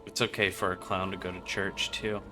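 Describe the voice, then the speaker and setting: A man's voice: deep voice, flat delivery, fairly quick. A man streaming a video game with casual, slangy, irreverent commentary. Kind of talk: casual live game commentary, crude joking. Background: game music and sounds.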